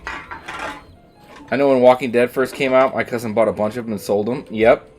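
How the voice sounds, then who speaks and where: A man's voice: medium pitch, steady tone, normal speed, darkish tone, soft background music. A man streaming a game, rambling casually with lots of ums and the odd swear word. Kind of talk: casual chat while gaming. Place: at home, streaming.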